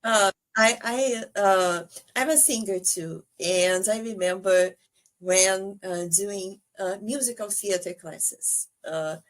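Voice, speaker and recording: A woman whose first language is Portuguese, chatting as a podcast guest, pausing often on fillers and laughing between phrases. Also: mid-range voice. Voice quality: soprano singer. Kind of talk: casual podcast conversation.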